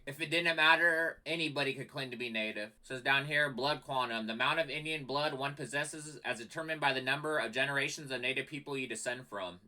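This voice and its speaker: A man hosts a reaction video with a very bright, forceful voice. He talks casually and bluntly, giving his opinions plainly. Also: mid-range voice, poor audio. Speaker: reaction channel host.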